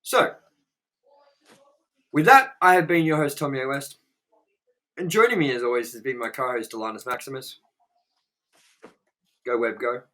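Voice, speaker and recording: A man's voice: mid-range voice, moderate pace, pauses often, bright, forceful tone. A man hosting a casual movie review show, jokey and loose, swearing freely. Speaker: show host.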